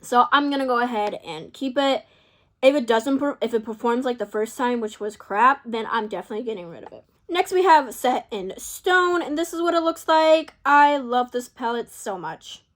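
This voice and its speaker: A young woman vlogger talking casually to camera, rambling and indecisive, her voice a little hoarse from talking for hours. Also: a little high, lively intonation, moderate pace. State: losing her voice. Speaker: beauty vlogger.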